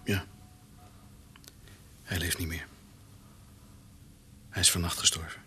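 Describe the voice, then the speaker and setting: An actor playing a gentle, grieving male doctor in a radio drama. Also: low-pitched, level tone, slow pace, a little hiss or hum, heavily acted. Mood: sorrowful.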